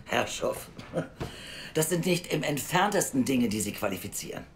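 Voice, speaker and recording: Stern, animated adoption official. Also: male voice, medium pitch, normal speed, slight background noise. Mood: disapproving. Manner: formal, reproachful, interrogating. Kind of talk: naturalistic German audio drama acting.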